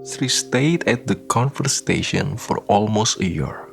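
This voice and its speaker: A man narrating a scripted radio drama in a solemn, measured storytelling manner. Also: on the low side, animated, gravelly, faint music behind.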